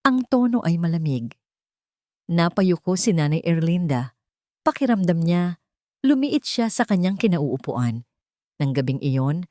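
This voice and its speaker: Low, mellow woman storyteller. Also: no echo, studio quality. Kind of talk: dramatic scripted story narration.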